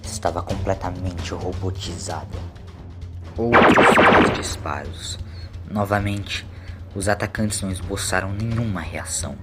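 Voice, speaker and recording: A man's voice: low-pitched, unhurried, loud music behind the voice. A man narrating a scripted audio story in a dramatic storytelling style.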